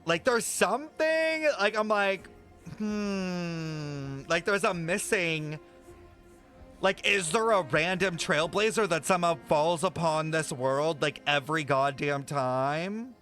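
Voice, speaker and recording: A young man with a bright, clear-toned voice that sits a bit above the usual male pitch. He chats casually while reacting to a game, spinning theories aloud and tossing in swear words. Faint game music plays behind him. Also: slow pace. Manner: thinking out loud, excited speculation, frequent swearing.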